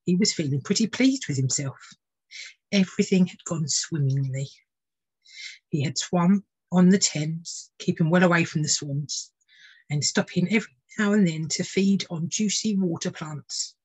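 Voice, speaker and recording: Slightly husky woman reading a storybook. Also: fairly low pitch, normal speed, a little mellow, poor audio. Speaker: tutor reading a children's book. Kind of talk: expressive storybook narration.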